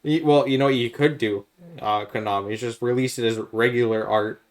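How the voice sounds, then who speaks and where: A man's voice: mid-range voice, steady tone, a little fast. A man co-hosting a podcast, chatting casually and giving his opinions on video games in an informal way. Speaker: podcast co-host.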